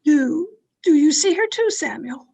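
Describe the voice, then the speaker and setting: A woman acting a bossy society wife in an old-time radio play. Her voice is high and her delivery slow and theatrical. She scolds and commands with haughty indignation. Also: expressive, creaky, warm tone, exaggerated voice. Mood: startled. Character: domineering, haughty society wife, easily outraged. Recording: amateur video-call recording.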